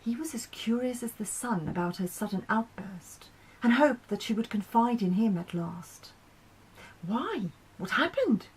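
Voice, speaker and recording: A woman narrating a short story in an expressive storytelling style. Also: medium pitch, moderate pace, slightly rough, rough recording. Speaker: author reading her own short story.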